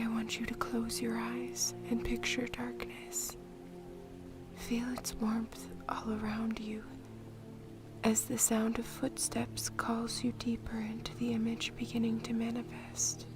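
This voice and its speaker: A woman guiding a meditation in a soft, breathy, whispery voice, with a soothing, gentle and measured manner. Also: a little high. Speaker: meditation guide. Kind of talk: guided meditation, soft-spoken narration. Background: music.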